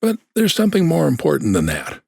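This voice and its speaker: An actor playing a self-deprecating older man giving frank, folksy advice in a manga drama dub, with a gravelly voice. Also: fairly high pitch, wildly swooping pitch, fairly quick, dark tone, dry recording, clean studio sound, exaggerated voice. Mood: frank, rueful. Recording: music under the voice.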